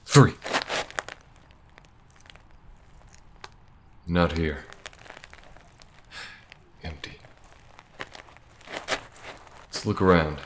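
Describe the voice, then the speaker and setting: A man playing a laconic, tactical alien bounty hunter in an audio roleplay, with a low gruff voice and a measured, folksy way of thinking aloud. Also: slight background noise. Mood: wary, focused.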